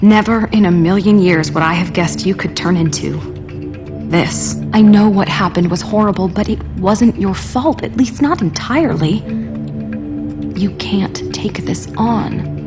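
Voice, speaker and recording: A woman's voice: mid-range voice, unhurried, music in the background, lightly acted. A woman acting a troubled, caring female superhero in emotional video game dialogue. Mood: worried, pained.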